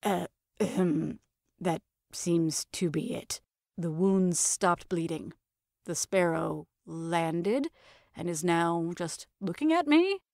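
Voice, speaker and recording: A woman playing a shaken, melodramatic young woman in audio fiction, speaking in a halting, hesitant manner. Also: fairly low pitch, lively intonation, gravelly, a little mellow, no room sound, clean studio sound, full character voice.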